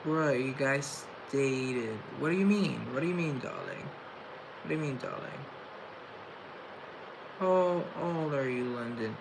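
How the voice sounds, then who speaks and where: A young man talks on a casual livestream in a mid-pitched voice, halting and restarting his words as he stutters through reflective chat. A clear hiss of background noise sits under his voice, picked up by a phone or webcam mic. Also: expressive. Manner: reflective and chatty. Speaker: livestream host. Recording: noisy room tone.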